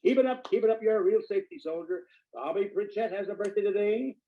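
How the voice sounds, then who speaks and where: An actor playing a jolly, doting uncle who hosts a children's radio show in effusive, sing-song 1940s style. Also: male voice, high voice, fast talker, lightly acted. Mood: doting, cheerful. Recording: muffled old radio recording.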